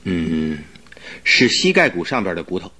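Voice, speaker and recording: A man's voice: deep voice, sing-song, unhurried, pushed and bright, full character voice. An actor playing a knowledgeable male doctor, a detective's companion, in a radio drama. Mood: thoughtful. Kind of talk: Chinese radio drama acting.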